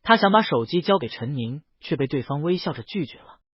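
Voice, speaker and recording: A man's voice: a little high, lively intonation, fairly quick, husky, dry recording, dull audio. A man reads a scripted story as an audiobook narrator, voicing the dialogue himself.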